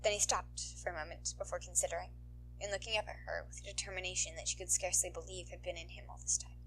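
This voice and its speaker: A woman reading fan fiction aloud as an audiobook narrator, in an expressive scripted storytelling style. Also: fairly low pitch, fast talker, slight background noise, rough recording.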